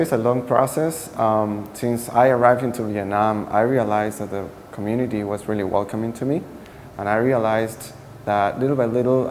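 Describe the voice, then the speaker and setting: A man's voice: fairly low pitch, darkish tone. A man from Peru, a startup co-founder answering interview questions earnestly while searching for his words. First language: Spanish. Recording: echoey room with faint noise.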